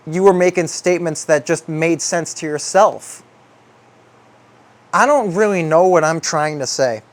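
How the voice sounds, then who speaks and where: Young male video essayist, voice a little on the high side, rambling and reflective, halting delivery with stretched emphasis.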